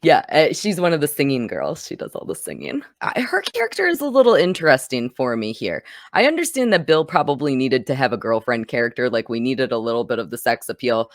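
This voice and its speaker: An American woman speaks as a podcast host in a casual film discussion. Her pitch moves around in an animated way, and the sound is dry and close, with very little room. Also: a little fast, hardly any pauses, no echo. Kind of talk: casual film review conversation.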